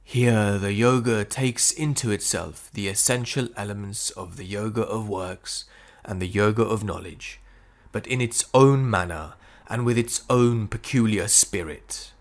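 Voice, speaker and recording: A man reading spiritual prose as an audiobook narrator, phrasing it deliberately with frequent pauses. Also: on the low side. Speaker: audiobook reader.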